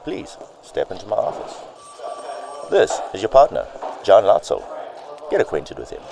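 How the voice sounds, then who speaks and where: A man playing a brisk, stern police chief in a radio drama. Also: lowish voice, huge pitch swings, gravelly, dark tone, exaggerated voice.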